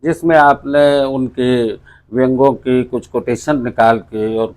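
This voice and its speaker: A man's voice: mid-range voice, steady tone, slow, darkish tone, clear, resonant tone. A man hosting an interview, introducing and questioning his guest. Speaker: interviewer and host. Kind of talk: interview with scripted-sounding introduction.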